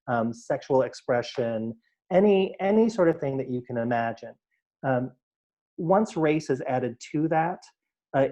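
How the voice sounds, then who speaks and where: A man's voice: medium pitch, a little mellow, lo-fi sound. A man explaining emphatically at a board meeting over a video call, with many fillers. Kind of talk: spoken remarks at a board meeting.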